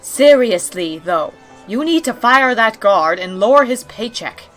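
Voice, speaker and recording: A woman's voice: on the high side, animated, measured pace, fairly bright tone, faint music behind. A woman voicing a blunt, indignant younger-sister pony princess in a scripted audio drama, sarcastic, exasperated and emphatic.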